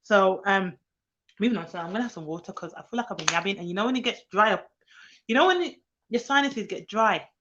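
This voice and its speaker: A woman talks casually on a live stream, rambling with plenty of fillers and restarts. Her pitch sits in the middle for a woman but leaps up when she quotes children. The audio is a little dull and lo-fi. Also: expressive, fast talker, noisy background. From England, grew up in London. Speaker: nanny hosting a live stream.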